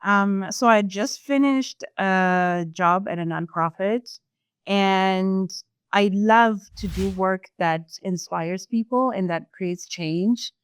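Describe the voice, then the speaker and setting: A young woman from Zimbabwe, a sales employee answering interview questions in a thoughtful, measured way, with some fillers. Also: mid-range voice, clear, resonant tone, dry recording, studio quality.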